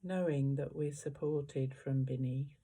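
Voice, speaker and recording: A meditation guide giving gentle, unhurried guided instructions with long pauses between phrases. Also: medium pitch, fairly even intonation, slightly rough.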